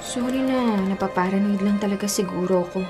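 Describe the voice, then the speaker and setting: A woman's voice: medium pitch, fairly quick, natural voice. An actress playing an anxious, doubting young girlfriend in a Filipino radio drama. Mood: apologetic. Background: music.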